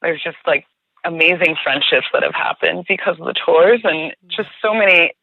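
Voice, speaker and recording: A woman's voice: on the low side, normal speed, creaky, dry recording. A woman with a New Jersey accent, chatting casually as a podcast guest, restarting her sentences and saying "like" a lot. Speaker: guest, tour guide. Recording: tinny phone line, dry.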